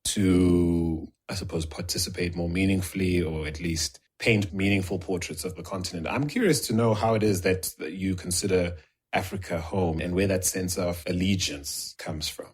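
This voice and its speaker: A man's voice: sits low, normal speed, husky. A man hosting an interview, asking curious, conversational questions. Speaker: podcast interviewer.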